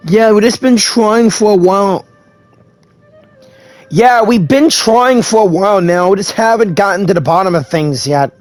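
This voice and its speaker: Woman voicing easygoing young traveler, mid-pitched. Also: moderate pace, darkish tone, natural voice. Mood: concerned. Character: calm, friendly young traveler, loyal companion. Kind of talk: anime game voice acting. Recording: music behind the voice.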